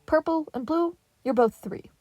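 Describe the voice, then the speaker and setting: A woman reads as a worn-out rat father keeping his boys in line, patient but plainly tired. The voice sits a little high and very dry and close, with no room around it. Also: a little slow, a little mellow, no room sound. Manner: tired, patient, matter-of-fact. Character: weary father rat raising four boys.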